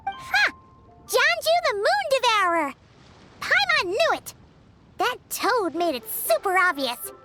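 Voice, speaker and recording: A woman voicing a tiny, excitable, childlike fairy companion in a video game, chirpy and boastful. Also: very high voice, slow, faint music behind, exaggerated voice. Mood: smug, playful. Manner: chirpy, boastful, refers to self in third person.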